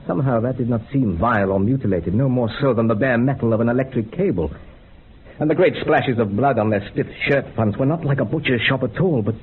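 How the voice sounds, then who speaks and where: An actor plays a genteel man of science in a radio drama, relating eerie visions with literary care and a matter-of-fact calm. His pitch is middling. The sound is dull and narrow, with faint hiss. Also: lightly acted. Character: genteel male experimenter calmly describing grisly visions. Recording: muffled narrow-band radio recording, background hiss.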